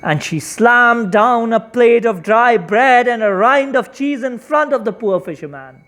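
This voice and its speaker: A storyteller narrating a children's folktale expressively, with deliberate, dramatic emphasis. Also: mid-range voice, lively intonation, a bit bright.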